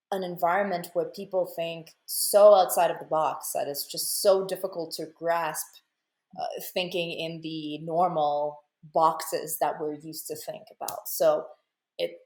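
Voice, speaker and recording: A woman's voice: low voice, a little mellow, roomy sound. A woman with a Russian accent, hosting an interview in a casual, thoughtful way, searching for her words. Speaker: interview host.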